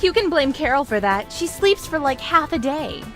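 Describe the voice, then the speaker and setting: A perky young cartoon heroine, high and lively, with a bossy upbeat edge. It is video game acting with loud music behind it. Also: female voice, moderate pace.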